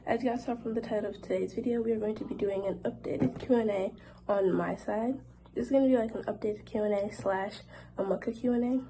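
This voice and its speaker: A woman's voice: mid-range voice, steady tone, brisk, noisy background. A young woman vlogger chatting casually to camera in a Q&A, her voice a little off from a cold, with many fillers like "like". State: recovering from a cold, voice slightly off.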